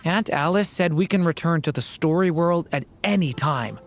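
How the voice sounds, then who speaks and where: An actor voicing a gentle, polite young boy in a video game, speaking in a measured way. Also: medium pitch, faint music behind, natural voice. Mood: calm.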